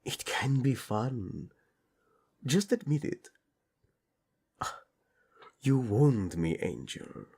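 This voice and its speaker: A man playing a doting, teasing tyrant prince in a romantic audio roleplay, flirtatious and tender with a husky delivery. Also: medium pitch, sing-song, drawn-out words, pauses often, darkish tone, full character voice. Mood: playful, flirtatious.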